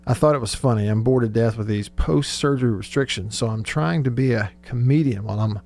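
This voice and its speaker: A man's voice: medium pitch, a little fast, creaky, darkish tone. A man hosting casual sports talk radio, chatty and joking as he reads out listener texts. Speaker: radio show host. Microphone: close studio radio microphone.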